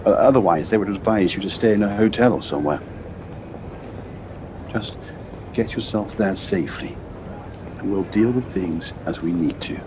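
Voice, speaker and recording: A man in an audio play voicing a calm, supportive husband with a measured, slightly hesitant, reassuring way of talking, recorded on a personal device. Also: on the low side, background noise, natural voice.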